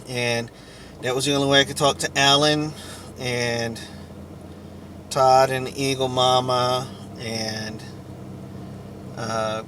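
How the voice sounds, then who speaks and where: Male vlogger, unhurried and halting, telling a rambling personal story; faint vehicle-like noise behind. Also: medium pitch, pushed and bright. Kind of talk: rambling personal account to camera or mic.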